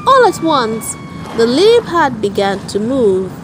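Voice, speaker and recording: A woman's voice: on the high side, very animated, a little slow, background noise. A woman narrating a children's storybook aloud for very young listeners.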